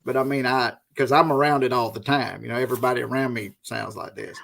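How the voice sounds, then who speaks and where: A middle-aged man from the Deep South with a Southern US accent, hosting a casual interview in an informal, folksy way. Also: fairly even intonation, fast, vocal fry, fairly bright tone. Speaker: host, interviewer.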